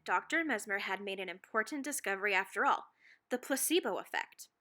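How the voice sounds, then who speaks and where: Female read-aloud narrator for children's books: bright, forward tone, slightly high pitch, expressive and deliberately dramatic phrasing.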